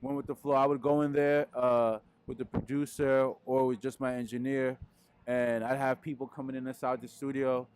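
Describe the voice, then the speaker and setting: A young man talks loosely and unhurriedly into a handheld microphone, trailing through fillers as his thoughts wander. His pitch sits mid-range for a man, and the delivery is casual and unpolished. He's indoors. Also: flat delivery, moderate pace, darkish tone, clean tone. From Peru. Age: young adult. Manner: laid-back, rambling, frequent fillers. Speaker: musician guest. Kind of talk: casual interview answers. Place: indoors in front of a wooden wall. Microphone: handheld mic.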